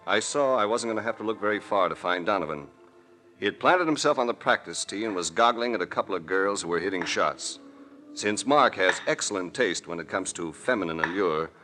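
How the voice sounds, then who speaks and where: Actor as deep-voiced, cool radio adventurer. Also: male voice, lightly acted. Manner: dry, composed, articulate. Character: cool, dryly witty male adventurer-detective narrating his case. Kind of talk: 1940s radio drama narration and dialogue. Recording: vintage radio recording with faint noise.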